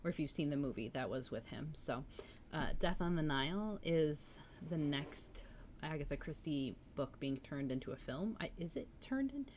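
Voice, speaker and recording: A woman's voice: low-pitched, animated, normal speed, darkish tone, slight background noise. A woman chatting casually and enthusiastically as host of a book-reading livestream, responding to viewers as she goes. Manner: chatty, enthusiastic, reacting to chat comments.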